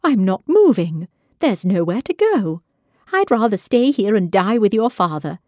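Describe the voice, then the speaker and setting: A woman's voice: medium pitch, sing-song, a little slow, darkish tone, dry recording, clean studio sound. A woman voicing a stubborn, defiant middle-aged mother refusing to leave.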